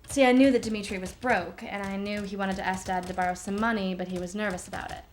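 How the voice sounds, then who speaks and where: An actress playing a guarded adult daughter recounting a family fight as if in a police interview. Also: female voice, medium pitch, steady tone, fast talker, natural voice. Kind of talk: police interview monologue, film acting. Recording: clear background noise with typing.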